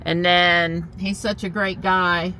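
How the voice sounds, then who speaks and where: A woman's voice: on the low side, level tone, takes it slowly, bright, forceful tone, a little hiss or hum. A middle-aged woman with a stuffy nose chatting casually through her day as a vlogger, in her car and out in the yard. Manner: chatty, talks to herself and pets. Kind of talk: casual vlog narration.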